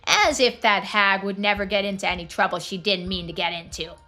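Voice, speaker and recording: A woman's voice: medium pitch, a little fast, pushed and bright, soft background music, natural voice. A woman voicing a hot-tempered, threatening rural parent in a video game, with a folksy, foul-mouthed drawl. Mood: irritated. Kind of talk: video game character dialogue.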